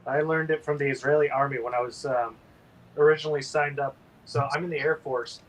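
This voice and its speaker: A man's voice: a little high, fairly even intonation, brisk, slight background noise, rough recording. A man hosting a casual painting livestream, rambling and sleepy with lots of ums.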